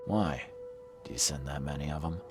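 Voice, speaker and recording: An actor playing a weary, skeptical investigator in a video game, with a dry, blunt, probing manner. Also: male voice, extremely low pitch, normal speed, music in the background. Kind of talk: video game dialogue acting.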